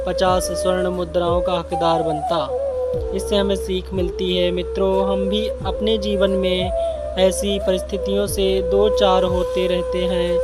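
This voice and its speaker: Male narrator, high voice, slow halting delivery, moral storytelling, loud music behind. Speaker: storyteller for a channel.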